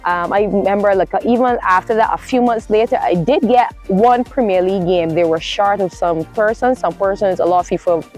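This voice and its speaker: A woman's voice: medium pitch, brisk, hardly any pauses, a little mellow, faint music behind. A woman from Barbados with a Caribbean accent, telling her story casually as an interview guest and often correcting herself as she goes.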